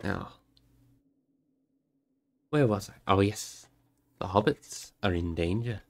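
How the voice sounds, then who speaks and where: A man with a creaky voice, hosting a livestream where he chats casually and reads a book aloud expressively. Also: low voice, animated, slow pace. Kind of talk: casual livestream chat and expressive storybook narration.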